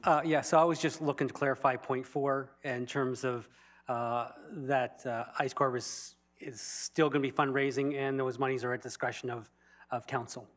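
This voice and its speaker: A man's voice: medium pitch, fairly even intonation, moderate pace, a bit bright. A man councillor in a council meeting, clarifying a point with frequent fillers. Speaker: town councillor.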